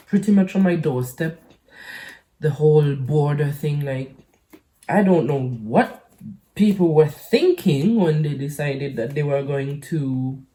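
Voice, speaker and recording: A woman from the Caribbean speaking casually and hesitantly to her channel's audience, halting and rambling as she goes. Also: deep voice, dark tone. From French Caribbean island. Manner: hesitant, halting, rambling with frequent pauses and repeats. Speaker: YouTube channel host. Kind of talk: casual personal vlog talk.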